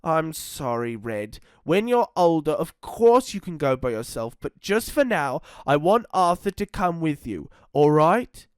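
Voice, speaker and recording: A storyteller voicing a worried, protective mother who speaks gently to her daughter. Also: mid-range voice, sing-song, measured pace, few pauses, smooth, no room sound, clean studio sound, pitched above the performer's own voice. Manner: gentle, fretful. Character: anxious, caring mother.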